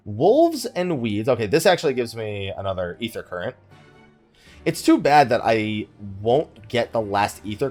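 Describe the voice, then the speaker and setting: A man giving casual let's play commentary, thinking aloud in a wry, conversational way. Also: medium pitch. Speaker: gaming YouTuber, host of the let's play.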